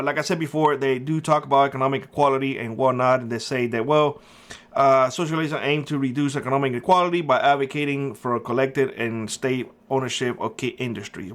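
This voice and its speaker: Middle-aged guy from cuba ranting earnestly to camera, lots of uhs, average pitch. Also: a bit bright. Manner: earnest, emphatic, with frequent uh and um. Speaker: prepper channel host. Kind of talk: casual opinion monologue to camera.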